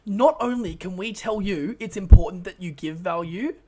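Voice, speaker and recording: A man co-hosting a podcast in casual chat, excitable and emphatic, repeating words as he gets fired up. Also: sits high, moderate pace. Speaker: podcast co-host.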